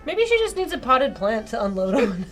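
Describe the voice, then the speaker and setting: Woman game streamer, highish voice, playful casual narration of dialogue, soft music in the background. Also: very animated, moderate pace. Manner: playful, giggly.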